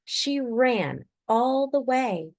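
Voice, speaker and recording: A woman reading a children's story aloud expressively, drawing out key words with deliberate emphasis. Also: fairly high pitch, expressive, a little mellow. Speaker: children's picture book reader.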